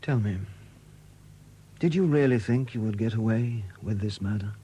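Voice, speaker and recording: An actor in a 1970s British radio drama playing a composed, probing chief superintendent who questions in a measured way. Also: male voice, lowish voice, mellow, a little hiss or hum, lightly acted. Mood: calm, insistent.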